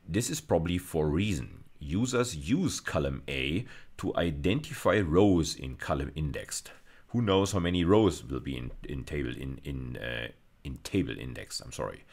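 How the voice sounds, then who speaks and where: Male lecturer, middle-aged, extremely deep and animated in intonation, hesitant explanatory delivery for a technical video course. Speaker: university lecturer.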